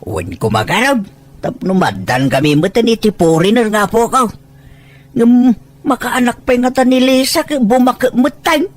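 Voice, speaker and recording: Woman acting a pushy, boastful older parent, high voice. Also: lively intonation, moderate pace. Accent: Filipino. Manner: pushy, exclaiming, questioning. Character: boastful, money-minded older parent of an emigrant daughter. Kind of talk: Ilocano audio drama acting.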